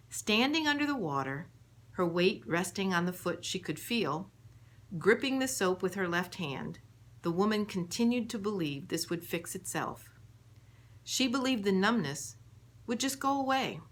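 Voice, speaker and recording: A woman reading her own book aloud as scripted audiobook narration, in a measured storytelling manner. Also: medium pitch, fairly bright tone.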